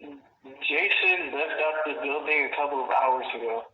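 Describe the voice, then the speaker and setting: A man's voice: fairly high pitch, huge pitch swings, measured pace, gravelly. A man answering a business phone with brief, polite, evasive replies, heard over a telephone line. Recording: muffled distant phone line.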